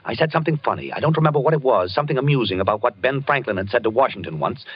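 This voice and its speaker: An actor playing a nervous, dream-obsessed husband in a 1940s radio drama, defensive and prone to frantic outbursts. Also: male voice, mid-range voice, animated, rapid-fire, husky, no echo. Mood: brooding. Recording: dull lo-fi old radio broadcast.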